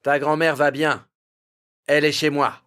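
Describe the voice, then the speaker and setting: Man as a highish-voiced, reassuring kindly hunter. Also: normal speed, lightly acted. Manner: calm, reassuring. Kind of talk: children's cartoon storytelling. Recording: prominent music under the voice.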